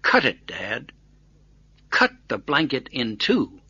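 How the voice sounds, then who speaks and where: A man voicing a bold, earnest young boy in a storybook tale, speaking insistently and deliberately. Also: mid-range voice, wildly swooping pitch, pushed and bright, breathy edge, dull audio, full character voice. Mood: firm.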